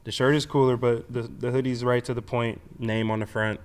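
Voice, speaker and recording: A man's voice: mid-range voice, flat delivery, fairly quick. A young man, a football player, chatting casually as an interview guest. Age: young adult. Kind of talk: casual chat.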